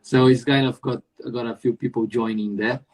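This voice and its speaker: A man hosting a casual live-stream interview, explaining things in a halting way with many restarts and jokes. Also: mid-range voice, fairly even intonation, a little mellow. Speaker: host.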